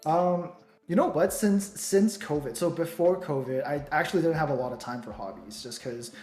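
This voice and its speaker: A man's voice: on the high side, normal speed, roomy sound, faint music behind. A young man from Taiwan, co-hosting a casual podcast chat, rambling with many fillers. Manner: relaxed, rambling with many ums and likes. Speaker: podcast co-host.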